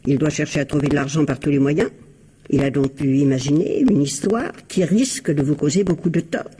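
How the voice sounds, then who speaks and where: Woman doing a sly old mother-in-law for a radio mystery, husky and gossipy, fake sweet. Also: sits low, a little fast, warm tone. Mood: insistent, scheming. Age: older. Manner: insinuating, theatrical. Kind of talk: radio mystery drama acting.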